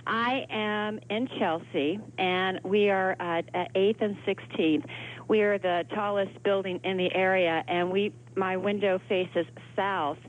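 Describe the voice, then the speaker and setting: A woman's voice: medium pitch, fairly even intonation, slow. A woman phoning in a live eyewitness account in an articulate, orderly and polite way. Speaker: eyewitness caller. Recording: tinny telephone line with hum.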